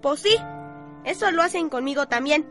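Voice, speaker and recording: Woman playing resigned thoughtful girl, high voice, radio drama, soft music behind. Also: expressive, moderate pace.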